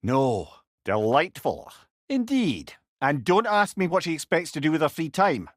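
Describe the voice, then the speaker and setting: A witty, fond old Scottish companion from a video game. His voice sits a little high and swings widely in pitch as he talks with wry, wordy eloquence. The sound is dry, free of any room. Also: very animated, unhurried, no room sound. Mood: wry, conversational. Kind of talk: video game character dialogue.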